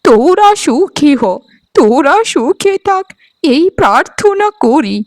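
A woman's voice: sits high, sing-song, takes it slowly, heavily acted. Woman acting a bitter, wailing old mother-in-law. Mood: self-pitying, tearful. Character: bitter, self-pitying ailing old mother-in-law, spiteful and manipulative. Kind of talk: melodramatic cartoon dubbing.

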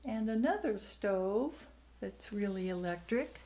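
Slow-paced woman giving a house tour. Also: medium pitch, animated, dark tone. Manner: short descriptive phrases while walking about. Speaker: vacation rental host giving a house tour. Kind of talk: casual walk-through narration. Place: indoors, moving through rooms of a house. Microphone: handheld camera's built-in mic. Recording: noisy handheld camera recording.

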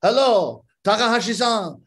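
Casting: man voicing an old Japanese gentleman, polite, incredulous questions, high voice. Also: animated, a little slow. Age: older.

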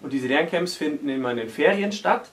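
Young guy in his 20s chilling, kinda high voice, mic far off. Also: animated, brisk. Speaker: working student. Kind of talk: casual presentation. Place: room with a bookshelf.

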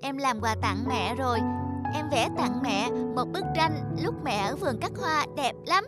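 A small, earnest child in an animated story. The voice is very high, polite and pleading, with clear music behind it. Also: female voice, a little slow. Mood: earnest, hopeful. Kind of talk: animated moral story dialogue.

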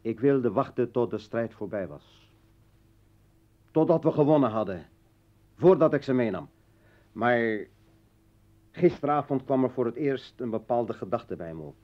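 A man's voice: medium pitch, expressive, a little slow, pauses often, natural voice. An actor in a radio play as an embittered, rambling revolutionary and desperate father, speaking rhetorically and swinging between brooding and outburst. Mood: reflective, grave. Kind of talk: dramatic radio play acting. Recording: dull lo-fi old radio recording.

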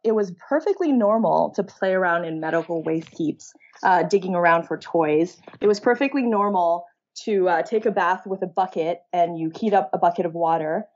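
A woman's voice: lowish voice, normal speed, hardly any pauses, darkish tone, lo-fi sound. A woman in her thirties, raised in China, talking openly about her early life as an interview guest. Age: 30s. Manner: open, conversational storytelling. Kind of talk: casual interview talk.

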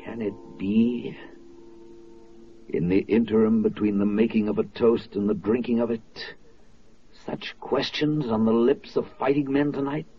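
An actor playing a solemn, rhetorical narrator in a 1940s radio drama, with deliberate, oratorical phrasing. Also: male voice, fairly low pitch, fairly even intonation, natural voice. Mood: stern, rhetorical. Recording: dull vintage radio recording, faint music.

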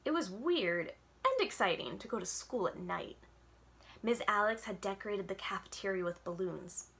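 A woman's voice: medium pitch, expressive, moderate pace, vocal fry, fairly bright tone. A woman in her thirties, a children's librarian, reading a storybook aloud expressively at home in front of a webcam. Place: home room with bookshelves, indoors. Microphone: webcam at desk distance.

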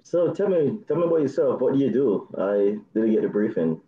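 A man in his thirties with a Jamaican accent, chatting on a video call as a dating show contestant, soft-spoken with nervous laughs. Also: mid-range voice, very animated, a little fast, reverberant, phone-quality sound. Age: 30s. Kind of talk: casual video call chat.

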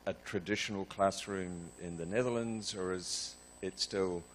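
A man's voice: low-pitched, steady tone, measured pace, a little hiss or hum. A man with a New Zealand accent asking a rambling question from the audience in a large lecture hall, restarting his sentences often.

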